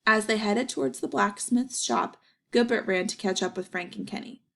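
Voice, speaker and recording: Creaky-voiced woman reading a storybook aloud. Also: medium pitch, normal speed. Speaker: storyteller reading a children's picture book aloud. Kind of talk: animated read-aloud narration with asides.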